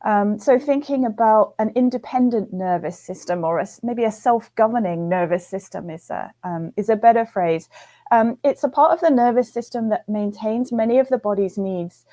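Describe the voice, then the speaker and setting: A middle-aged woman from the UK with a light Northern English lilt, talking over a video call. Her voice is dark and relaxed, and she explains science patiently with small pauses and an easy laugh. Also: mid-range voice. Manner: warm, laughing, thoughtful with fillers. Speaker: guest researcher. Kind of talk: conversational explaining.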